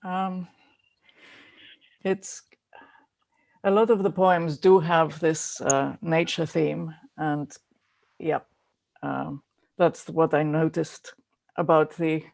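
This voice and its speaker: A woman hosting an online call, talking informally in a hesitant, halting way. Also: low voice, creaky, a little mellow. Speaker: host.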